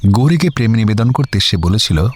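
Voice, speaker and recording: A man's voice: lowish voice, expressive, a little fast, darkish tone, slightly rough. A man narrating a scripted radio story. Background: background music.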